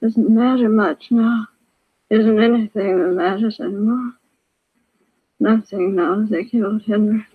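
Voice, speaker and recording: Dazed, grieving widow, thin radio sound. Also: female voice, medium pitch, fairly even intonation, unhurried, breathy edge. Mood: hopeless. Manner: weak, repetitive, distraught delivery in short broken sentences. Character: dazed, grieving, badly injured invalid widow. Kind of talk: old-time radio crime drama acting. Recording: thin, phone-like vintage radio recording.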